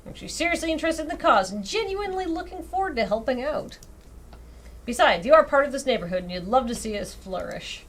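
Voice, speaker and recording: A woman's voice: high-pitched, expressive, fast, fairly bright tone. A woman streaming a game, reading a story aloud expressively and dropping in wry, self-deprecating casual asides. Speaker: streamer reading out a dating sim visual novel. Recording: clearly audible background noise.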